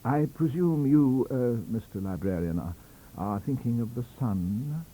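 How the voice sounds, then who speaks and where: A man voicing a high-bred, unruffled professor, pedantic and hesitant, full of cool scorn, in an audiobook story. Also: animated, measured pace, dark tone, slightly rough, rough recording. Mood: coolly scornful.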